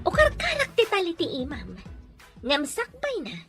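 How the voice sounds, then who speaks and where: A woman's voice: very high voice, huge pitch swings, normal speed, a bit bright, faint music behind, exaggerated voice. A woman playing a bossy, cruel female captor in an audio drama, commanding and scornful with a Filipino accent. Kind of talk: Ilocano audio drama acting.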